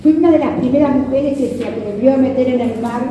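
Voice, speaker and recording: High-voiced Argentine woman in an echoey hall. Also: fast. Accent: Argentine Rioplatense. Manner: conversational, checking in with listeners using question tags. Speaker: kayak fishing safety instructor. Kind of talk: live instructional talk to an audience. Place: large hard-floored club hall with seated audience. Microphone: handheld microphone over PA, recorded by a distant camera. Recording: echoey hall PA, distant, crowd murmur. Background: audience murmur.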